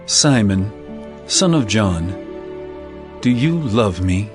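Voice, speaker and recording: A calm male teacher from a scripture drama, tender but full of authority. His delivery is solemn and deliberate, with an expressive, moving pitch. Music plays clearly behind him. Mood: tender, probing.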